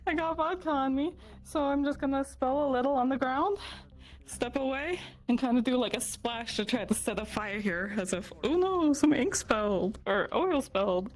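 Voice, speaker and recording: A woman's voice: sits high, expressive, moderate pace, low-quality recording. A woman chatting casually as a player in a live roleplay game.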